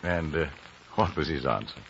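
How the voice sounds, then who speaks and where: Deep-voiced, grave gentleman. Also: animated, moderate pace, vocal fry, exaggerated voice. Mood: resigned. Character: grave gentleman narrator, inquisitive and wistful. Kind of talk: 1950s radio drama acting and narration. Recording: dull, noisy vintage radio recording.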